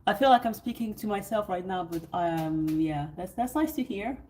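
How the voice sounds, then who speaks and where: A woman's voice: medium pitch, lively intonation, normal speed, darkish tone, slight background noise. A woman from France with a French accent, hosting a casual livestream, rambling and hesitant with many fillers and restarts, sounding sleepy. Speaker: livestream host. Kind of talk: casual livestream chat with viewers. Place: hotel suite, indoors.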